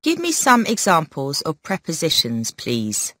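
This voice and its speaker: A woman reading textbook questions slowly and clearly. Her voice moves a lot in pitch. The sound is dry and close. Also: huge pitch swings, fairly bright tone, no room sound. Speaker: recorded model speaker.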